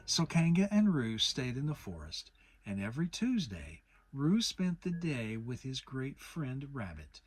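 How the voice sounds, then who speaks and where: A woman narrates a children's audiobook in a dramatic style. Her voice sits low and is rather husky. The pitch moves around a great deal, very animated. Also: measured pace, dry recording. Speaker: storybook narrator.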